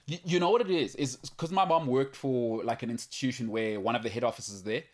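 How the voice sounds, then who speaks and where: A man's voice: mid-range voice, fairly quick. A man hosting a sports talk show, chatting casually and laughing easily. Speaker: sports radio host. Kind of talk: casual studio banter.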